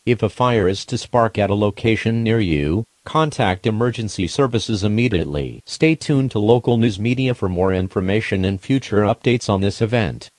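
A man's voice: fairly low pitch, normal speed, hardly any pauses, darkish tone, no echo. A man's formal, impersonal synthetic voice reading a scripted emergency alert announcement.

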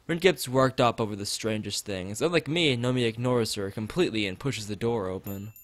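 A man's voice: fairly low pitch, a little fast, no room sound. A man live streaming a visual novel, reading its text aloud and drifting into rambling asides to the chat. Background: background game music.

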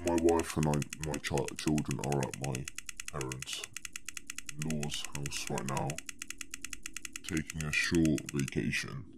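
A man voicing an evasive male murder suspect in a horror game, giving slow, flat answers. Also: rumbling low, background noise, full character voice.

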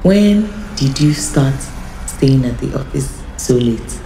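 A woman's voice: extremely low pitch, expressive, slow pace, creaky, dark tone, slight background noise, full character voice. An actress playing an inquisitive young woman in an audio drama, with a roomy echo. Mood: curious.

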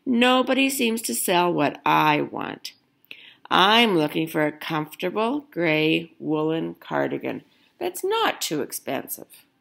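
Woman reading as creaky, fussy old grandpa. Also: low voice, sing-song, slow, a bit bright, exaggerated voice. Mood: weary, sad. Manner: plaintive, politely insistent. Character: fussy, polite old grandpa in a picture book.

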